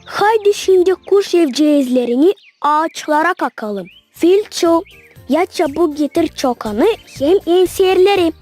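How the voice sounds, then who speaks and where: A woman's voice: very high voice, expressive, a little slow, darkish tone, faint music behind. A woman with a Gagauz accent voicing an eager, curious little girl in a children's cartoon.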